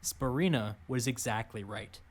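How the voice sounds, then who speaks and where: Dry, measured male history narrator. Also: mid-range voice. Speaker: documentary narrator.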